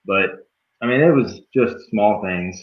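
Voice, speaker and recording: A man's voice: fairly low pitch, unhurried. A man from Georgia chatting casually as a guest. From Georgia, United States. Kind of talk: casual chat. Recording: echoey, dull video-call audio.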